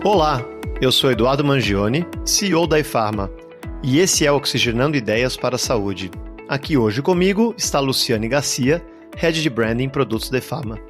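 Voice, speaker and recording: Man, podcast host, mid pitch, relaxed, friendly interviewer. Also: normal speed, soft background music. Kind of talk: casual health podcast chat.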